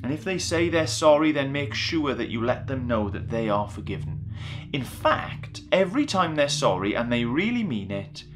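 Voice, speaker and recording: Male storyteller for young children, playful and conversational, highly varied intonation, music underneath. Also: big pitch swings, moderate pace. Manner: chatty, playful, acting out voices. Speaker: story reader. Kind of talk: animated children's Bible storytelling. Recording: music behind the voice.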